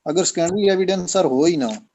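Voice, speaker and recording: A man's voice: high voice, a little fast, darkish tone, a little hiss or hum. A man, a law student asking questions deferentially in class.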